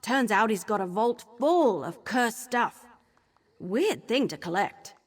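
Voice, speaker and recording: A woman's voice: a little high, animated, slow pace, breathy edge, exaggerated voice. A woman voicing a cheeky, eager young apprentice thief in a video game, chatty, playful and bubbly. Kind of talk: scripted video game dialogue.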